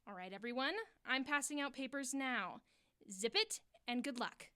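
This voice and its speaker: An actress playing a brisk, bossy schoolteacher who is flustered and trying to sound calm, in an audio drama. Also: female voice, sits high, clean tone. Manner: brisk, bossy, falsely reassuring. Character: flustered schoolteacher forcing calm on her class.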